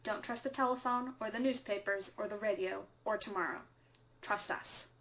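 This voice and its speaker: A woman's voice: mid-range voice, moderate pace, fairly bright tone, lo-fi sound, natural voice. A woman playing an earnest, dutiful resistance broadcaster co-hosting an underground radio show in an audio drama, with an announcer-like manner. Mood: defiant.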